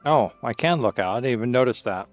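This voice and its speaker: A man's voice: medium pitch, animated, quick pace, soft background music. A man talking casually as he plays a game on stream. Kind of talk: casual gameplay commentary.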